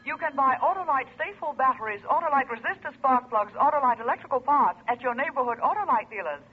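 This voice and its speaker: A woman's voice: sits high, animated, fast talker. A woman reading a short, upbeat commercial tag on an old-time radio broadcast. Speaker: commercial announcer. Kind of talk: scripted advertisement. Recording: tinny old radio broadcast with faint noise.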